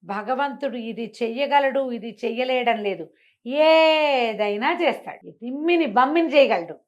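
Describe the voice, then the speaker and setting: A woman's voice: fairly high pitch, measured pace, dull audio. A woman storyteller. She narrates a moral fable expressively, acting out the exchanges and putting questions to her listener as she explains.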